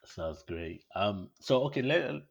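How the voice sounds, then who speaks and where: A man's voice: lowish voice, animated, takes it slowly, creaky, lo-fi sound. A man hosting a casual interview, phrasing questions hesitantly and often repeating short acknowledgements. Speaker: interview show host.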